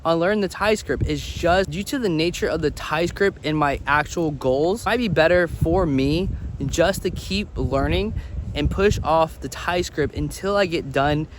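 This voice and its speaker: A man with a slightly high voice talks casually to his viewers, giving study advice like a vlogger. He repeats words to drive points home. There is faint outdoor traffic noise behind him. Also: moderate pace. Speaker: language-learning vlogger.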